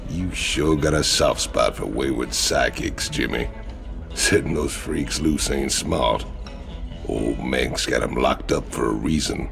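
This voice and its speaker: Very deep, gravelly marine. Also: male voice, slow, full character voice. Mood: disapproving. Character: gruff, cynical, hulking male ex-convict marine. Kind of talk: video game cutscene acting. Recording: loud music behind.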